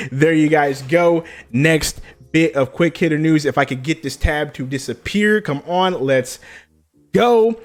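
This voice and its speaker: A young man hosting a casual gaming news live stream, hyped and chatty, greeting viewers in chat by name. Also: medium pitch. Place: home streaming setup. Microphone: desk mic.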